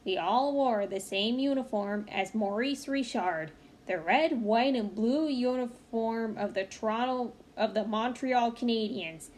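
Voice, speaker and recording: A woman with a fairly high voice reads a children's picture book aloud. She is expressive and speaks as if to young listeners. There is some faint background noise in the recording. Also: a little slow. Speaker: read-aloud storyteller. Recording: clearly noisy home recording.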